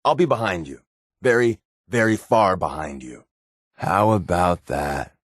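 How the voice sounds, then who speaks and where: A man's voice: low-pitched, animated, a little slow, no echo, clean studio sound. A man playing a wry, laid-back spy companion in a post-apocalyptic video game, given to sardonic asides. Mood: wry, casual.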